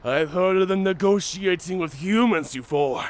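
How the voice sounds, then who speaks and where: A man's voice: high voice, moderate pace, lightly acted. A man playing a wounded, wry, talkative werewolf in human form, theatrical and bantering, in an audio drama. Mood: wary, bargaining. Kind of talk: cinematic audio roleplay acting.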